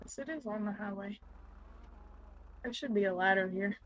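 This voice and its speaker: A woman's voice: mid-range voice, moderate pace, lo-fi sound, slight background noise. A woman bantering casually in character as she plays a roleplay game.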